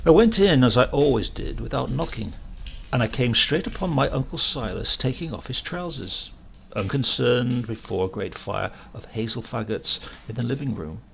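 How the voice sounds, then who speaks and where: A man with a British accent reading a comic literary story as narrator, in a measured, dramatic way. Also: medium pitch, expressive, vocal fry. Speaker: audiobook reader.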